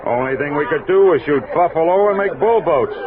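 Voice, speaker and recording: A man's voice: a little high, animated, fairly quick, crowd noise, close to an everyday voice. An American man playing a sheepish, deferential frontier army sergeant in a 1960s radio drama, speaking in a hesitant, folksy way. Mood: weary reporting. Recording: narrow-band old radio, outdoor bustle effects.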